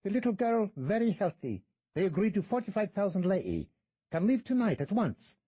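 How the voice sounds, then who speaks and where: A man voicing a tall, greedy guide who barks in broken English, pushed much higher than his own voice. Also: big pitch swings, moderate pace, husky, dry recording, dull audio, heavily acted. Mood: gloating. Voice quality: raised, strained pitch. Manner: barking, brusque broken English. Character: menacing greedy male Romanian guide, tall.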